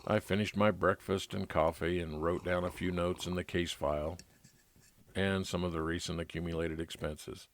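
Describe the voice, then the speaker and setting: A man's voice: low voice, steady tone, moderate pace. A man narrating a scripted first-person detective story as a private investigator, in old-time radio style.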